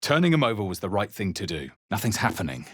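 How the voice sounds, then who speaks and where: A male actor playing a brooding fantasy adventurer in a role-playing game, with a husky voice and a measured, dramatic delivery. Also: on the low side, sing-song, a bit bright, no room sound, clean studio sound, full character voice. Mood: resolute. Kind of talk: scripted game voice lines and first-person narration.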